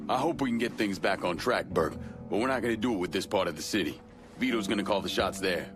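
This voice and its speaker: An actor playing a hard, vengeful young crime boss with an American accent, blunt and controlled, in a video game drama. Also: male voice, fairly low pitch, fast, music in the background, lightly acted. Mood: firm, controlled.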